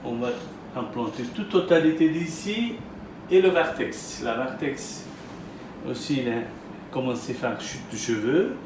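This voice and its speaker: A man who is not a native speaker, explaining as a surgeon in a halting, repetitive consultation style. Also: mid-range voice, expressive, noisy background. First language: not French. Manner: halting, repetitive phrasing in non-native French. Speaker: hair transplant surgeon presenting a patient. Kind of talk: explanatory consultation talk, interviewing. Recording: echoey room with loud guitar music. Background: guitar music.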